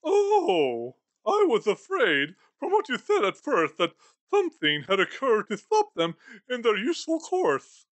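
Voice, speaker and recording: A man's voice: very high-pitched, wildly swooping pitch, unhurried, fairly bright tone, no echo, full character voice. A man doing a sneering old miser. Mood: coldly dismissive. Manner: scornful, clipped and sarcastic. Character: bitter miserly old male moneylender. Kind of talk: storybook reading.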